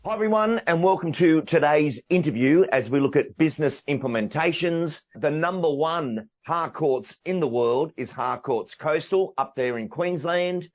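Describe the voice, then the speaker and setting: A man's voice: on the high side, animated, moderate pace, few pauses. A man hosting a sales podcast and interviewing a guest, with an enthusiastic, polished presenter delivery. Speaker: podcast host and sales trainer. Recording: dull lo-fi audio with faint music.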